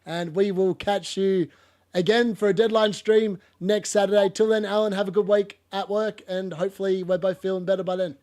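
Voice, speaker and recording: A chatty man hosts a laid-back football talk show with a cough he hasn't shaken yet. He rambles and laughs through his stories, and his voice sits a little higher than most men's. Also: flat delivery, normal speed, a little mellow. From Australia. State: lingering cough. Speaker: podcast host. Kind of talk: casual football chat.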